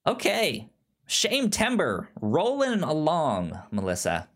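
A man co-hosting a podcast, talking casually about films, a bit halting and repeating his words. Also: a little high, wildly swooping pitch, a bit bright. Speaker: podcast co-host.

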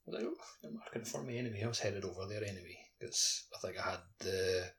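A man's voice: low voice, animated, quick pace, creaky, fairly bright tone, a little hiss or hum. A man talking casually and conversationally as a podcast co-host. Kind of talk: casual chat.

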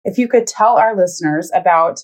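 A woman's voice: lowish voice, brisk. Woman hosting a podcast. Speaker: podcast host. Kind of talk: podcast introduction and interview.

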